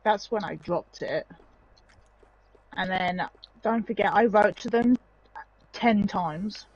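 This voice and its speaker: Husky high woman, aggrieved and muffled. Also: slow pace. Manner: aggrieved, detailed complaining. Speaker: stream guest. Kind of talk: casual conversation.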